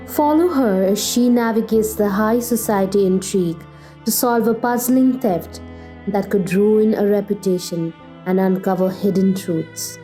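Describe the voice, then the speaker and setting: A woman's voice: medium pitch, a little slow, warm tone, soft background music, poor audio. A woman announcer reading a scripted introduction for a detective radio drama.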